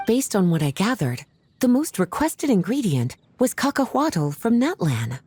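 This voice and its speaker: A woman's voice: medium pitch, mellow, slightly rough. A woman voicing a worldly, self-assured and playful lady in a video game, articulate, teasing and affectionate. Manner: teasing, flirtatious, articulate, savoring her words. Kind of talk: scripted video game dialogue.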